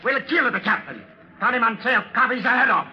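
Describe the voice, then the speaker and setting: Very high-pitched, bloodthirsty radio sailor. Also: male voice, lively intonation, fairly quick, soft background music. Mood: bloodthirsty eagerness. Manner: eager, broken grammar, talks of himself by name. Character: excitable, bloodthirsty sailor with simple, eager temper. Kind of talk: 1940s radio acting. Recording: dull 1940s radio recording.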